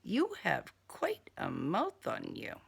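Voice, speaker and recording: Lady reading as a smug polite killer guy in an audiobook, slow n taunting, swoopy pitch. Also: wildly swooping pitch, creaky, husky, heavily acted. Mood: unhurried, prying. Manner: formal, condescending, unhurried diction.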